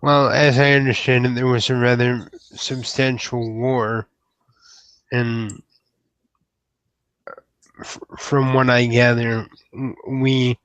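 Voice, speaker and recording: Man playing a slow, hesitant, earnest troll newcomer. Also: mid-range voice, flat delivery, a bit bright, clean tone, no room sound, rough recording. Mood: earnest, careful. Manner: hesitant, halting, formal wording. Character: earnest, polite young troll newcomer, idealistic and deferential. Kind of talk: improvised in-character roleplay dialogue.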